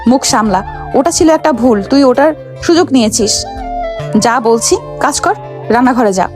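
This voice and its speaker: Haughty young wife, played by a woman, high voice, bossy, hot-tempered, dramatic, faint music behind. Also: animated, normal speed. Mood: bossy, irritated. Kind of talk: romantic audio story acting.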